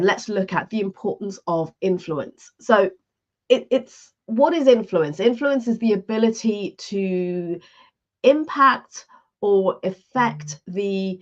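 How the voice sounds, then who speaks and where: A woman's voice: medium pitch, dull audio. A woman coaching on a live stream, speaking straight to her viewers in an upbeat, structured way, a little stuffy from a mild cold. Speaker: leadership and career coach, live show host.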